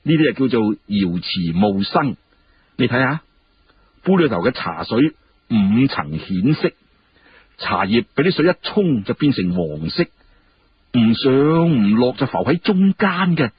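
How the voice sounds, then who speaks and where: A man's voice: medium pitch, very animated, a little slow, husky, muffled recording, heavily acted. A man voicing a courteous, genial young court minister in a Cantonese story, explaining things politely. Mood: amused, explaining.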